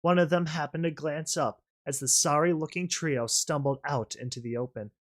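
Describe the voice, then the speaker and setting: Mid-pitched narrator-host: expressive dramatic reading, casual emphatic asides. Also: moderate pace.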